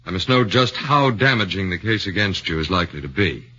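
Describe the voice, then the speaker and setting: An actor in a 1940s radio drama plays a defense lawyer, speaking in a deliberate, formal, probing way. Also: male voice, sits low, expressive, sharp tone, slightly rough, lo-fi sound, full character voice. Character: measured middle-aged defense lawyer.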